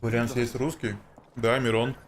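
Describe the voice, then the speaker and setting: A man's voice: mid-range voice, level tone, fairly quick. A man chatting casually and grumbling in offhand asides as he streams.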